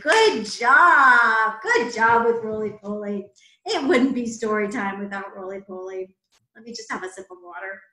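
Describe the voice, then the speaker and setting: A woman's voice: fairly high pitch, expressive, moderate pace, bright, forceful tone, reverberant. An American woman in her thirties hosting children's storytime, speaking to young children in an encouraging, exaggerated way from a small room at home. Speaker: library storytime host. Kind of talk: children's storytime, talking and sing-along. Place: indoors, small home room with closet doors.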